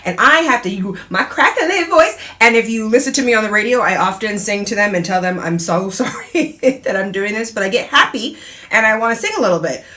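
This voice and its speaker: A woman's voice: mid-range voice, expressive, brisk, bright, forceful tone. Chatty woman radio host, middle-aged, laughs a lot, goes off on asides. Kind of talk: casual solo talk show.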